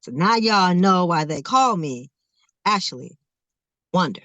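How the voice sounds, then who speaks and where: A woman's voice: lowish voice, lively intonation, slow pace, a bit bright, dry recording, lo-fi sound. Woman performing spoken word, dry sound. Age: around 40. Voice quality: says she stutters, not heard. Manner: rhythmic, emphatic poetry delivery with laughs between phrases. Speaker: guest, spoken word poet. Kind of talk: performed spoken word poem, then casual interview talk.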